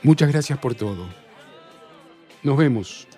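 Mellow-voiced, courteous Argentine man, acted out by a man. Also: medium pitch, expressive, a little slow, faint music behind, close to an everyday voice. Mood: polite, weary. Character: polite, smitten male dinner guest, uneasy and earnest. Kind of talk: radio play acting.

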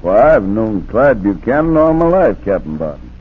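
Hesitant country lawman, very husky, lively intonation, 1940s radio drama, muffled phone-like recording. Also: lowish voice, moderate pace. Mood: uneasy, puzzled. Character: hesitant rural American lawman, loyal old acquaintance.

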